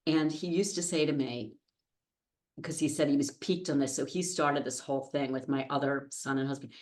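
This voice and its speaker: Low-pitched chatty American woman. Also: steady tone, moderate pace, a little mellow. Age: 50s. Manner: chatty, self-deprecating. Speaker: podcast guest. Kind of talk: casual anecdotal interview conversation. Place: podcast studio.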